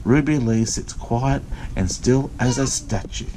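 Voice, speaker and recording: A man reading a picture book aloud to small children, adding simple, gentle asides as he goes. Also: mid-range voice, background noise. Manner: pauses for gentle, simple commentary aimed at children.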